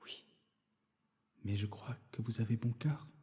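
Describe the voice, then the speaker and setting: A man voicing a timid, kind-hearted young woman in a fairy tale, softly and hesitantly. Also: medium pitch, measured pace, lightly acted. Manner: soft, hesitant, pleading.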